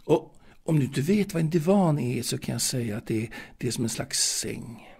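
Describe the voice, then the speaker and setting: Man voicing a coaxing genteel widow, slightly husky. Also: mid-range voice, animated, a little mellow, exaggerated voice. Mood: persuasive. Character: seductive middle-aged widow, genteel and coaxing. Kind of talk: audio drama monologue acting.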